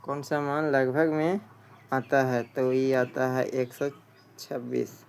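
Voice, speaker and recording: A man's voice: mid-range voice, fairly even intonation, slow pace, creaky, clean tone. A man teaching an exam practice lesson, reading out questions and answers and often repeating a question before answering.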